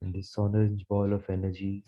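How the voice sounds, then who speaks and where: A man leading a guided meditation in a soothing, measured manner, repeating phrases as he goes. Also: on the low side, monotone, muffled recording. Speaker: guided meditation leader, Reiki teacher.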